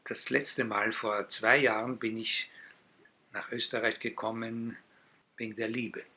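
An older man from Israel, a native Hebrew speaker who is retired and teaches Hebrew, talking in a relaxed, hesitant way as a guest in an interview. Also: mid-range voice, breathy edge. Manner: slow, thoughtful, with frequent hesitations. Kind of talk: casual interview talk.

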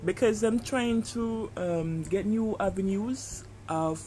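A man's voice: sits high, slow pace, dark tone, slight background noise, low-quality recording. A man with a Jamaican accent speaking in a casual personal podcast monologue, hesitant and reflective, often searching for his words. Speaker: podcast host.

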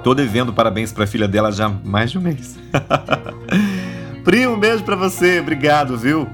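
A man's voice: a little high, animated, unhurried, music in the background. A man with a Brazilian accent hosting a casual religious podcast, chatty and full of little tag questions, his voice nasal from a stuffy cold. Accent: Brazilian Portuguese. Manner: chatty, warm asides, many tag questions. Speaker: podcast host.

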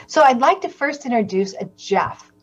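A woman hosting a video call panel, gently and encouragingly introducing each guest. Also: sits high, lively intonation, normal speed. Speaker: host. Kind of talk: moderating a panel conversation.

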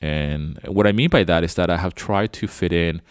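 Very deep-voiced man rambling. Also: normal speed, smooth, no echo, studio quality. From Venezuela. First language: Spanish. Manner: rambling, loose and chatty. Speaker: podcast host. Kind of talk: casual reflective monologue.

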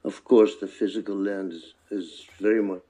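An older man from Palestine who moved to the US as a child, speaking earnestly and reflectively in a personal oral history interview, with deliberate phrasing and emphatic repetition. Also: deep voice, fairly even intonation, mellow. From born in Palestine, moved to the US as a child.

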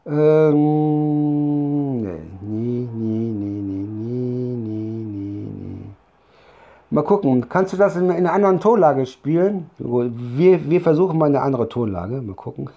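A man's voice: medium pitch, takes it slowly, dull audio. A man who has a cold, joking crudely and rambling between songs during a live stream. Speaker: singer performing live. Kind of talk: casual banter between songs on a livestream. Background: loud piano and guitar.

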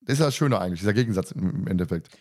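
A man's voice: deep voice, expressive, very fast, a little mellow. A man co-hosting a podcast in casual discussion, chiming in and building on points. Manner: interjecting, building on the other speaker's points. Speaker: podcast co-host. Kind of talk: casual discussion of an audio drama.

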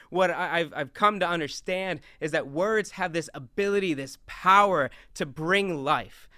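A young man with a big, loud voice giving an animated talk on scripture from a stage, moving around as he speaks. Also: fairly high pitch, clean tone, no echo, clean studio sound. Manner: animated, talks with hands, moves around on stage. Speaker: youth speaker.

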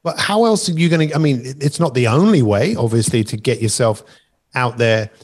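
A man's voice: medium pitch, lively intonation, brisk, darkish tone. A middle-aged man speaking as a life coach and host, giving conversational, motivational talk with emphatic, rambling asides over an online call.

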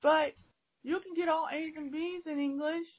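A woman's voice: high-pitched, steady tone, moderate pace. A woman, an English teacher, chatting casually and rambling with many fillers and restarts. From United States. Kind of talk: casual conversation. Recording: dull lo-fi audio.

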